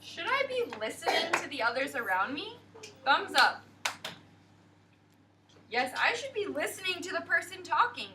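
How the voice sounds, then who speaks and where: A woman teacher leading a class of young children, slow and emphatic, asking the class questions. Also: very high-pitched, big pitch swings. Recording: distant classroom mic, faint noise.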